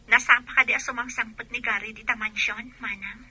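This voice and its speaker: Sharp, bossy mistress. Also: female voice, a little high, steady tone, brisk, slightly rough, heavily acted. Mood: commanding. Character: bossy mistress of a mansion instructing her maid. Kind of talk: Ilocano radio drama acting.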